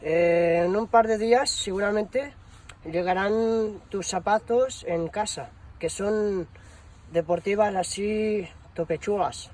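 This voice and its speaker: Man posing as bumbling shop employee, high voice, slow delivery, hesitant, rambling, slangy, prank phone call. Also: darkish tone. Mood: chatty, hesitant. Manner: hesitant, rambling, full of stammered fillers and slang. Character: bumbling shoe shop promotions employee announcing a prize. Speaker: prank caller.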